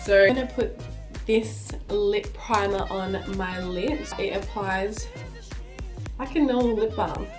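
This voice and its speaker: A young woman talks slowly and casually, trailing off mid-sentence as she reviews makeup. Her voice is a little stuffy from a cold, recorded by a camera mic in a small indoor room. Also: medium pitch, over music. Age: young adult. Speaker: beauty vlogger.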